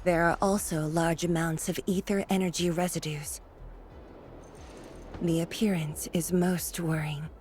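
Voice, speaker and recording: An actress voicing a solemn, weary woman of authority in a video game, grave and deliberate, hushed at times. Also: fairly low pitch, little pitch movement, slight background noise, lightly acted. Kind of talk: dramatic video game voice acting.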